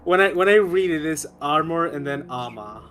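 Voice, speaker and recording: A man's voice: on the high side, expressive, moderate pace, a loud crowd. A man talking casually in a group chat during a tabletop game.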